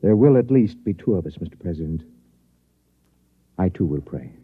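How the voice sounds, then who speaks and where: Through a thin, vintage radio recording comes a solemn professor. His low voice is husky and measured, formal in every phrase, like a scientist delivering terrible news in a 1950s radio play. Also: lively intonation, phone-quality sound. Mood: earnest. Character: grave scientist professor bearing news of doom. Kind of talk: 1950s radio drama acting.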